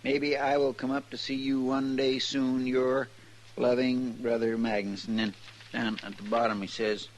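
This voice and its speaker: An actor playing an earnest, simple Old West sidekick in a radio western, with a laboured rustic drawl. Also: male voice, medium pitch, flat delivery, lightly acted. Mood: earnest, plodding. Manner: slow, laboured, rustic drawl while reading aloud. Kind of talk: 1950s radio western acting. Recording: noisy old-time radio recording.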